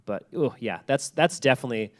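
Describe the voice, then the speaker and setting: A man's voice: medium pitch, lively intonation, a little fast. A man speaking informally on a panel about his craft, explaining things in an encouraging, hesitant way as he thinks aloud. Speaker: guest speaker, podcast creator. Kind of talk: informal panel talk on storytelling. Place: live library event.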